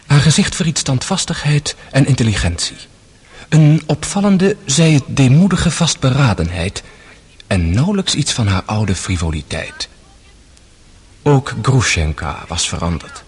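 Husky, expressive male narrator. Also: medium pitch, unhurried. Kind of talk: literary scripted narration in radio play.

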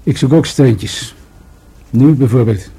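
An actor in a Dutch radio drama playing a calm, probing police detective with an understated way of questioning. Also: male voice, mid-range voice, slow pace, slightly rough.

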